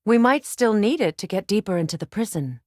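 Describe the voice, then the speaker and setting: A woman voicing a resolute, battle-worn sorceress and protective mother in a video game, with a measured, earnest and commanding delivery. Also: mid-range voice, animated, darkish tone, dry recording, clean studio sound, lightly acted. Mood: watchful, questioning. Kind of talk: dramatic video game voice acting.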